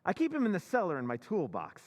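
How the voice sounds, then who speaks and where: Man playing a smug scheming husband, amused, lively voice, long hall reverb. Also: high-pitched, quick pace. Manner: smug, amused, self-assured. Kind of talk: old-time radio drama recreation.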